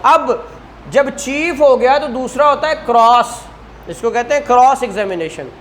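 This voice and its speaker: A Pakistani-accented law teacher speaks at a slow, deliberate pace in a conversational lecturing style. Points are repeated and framed as questions to the class. The recording carries noticeable ambient noise behind the voice. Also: high voice, fairly bright tone. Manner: didactic, repeats points and asks rhetorical questions. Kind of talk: explanatory law lesson, conversational.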